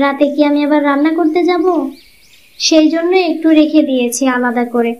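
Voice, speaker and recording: Woman voicing a high-pitched jealous young wife. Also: close to an everyday voice. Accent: Bangladeshi Bengali. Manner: complaining, quick retorts. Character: jealous scheming young wife, sharp-tongued. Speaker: cartoon voice actor. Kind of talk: Bangla cartoon dubbing. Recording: dull lo-fi sound.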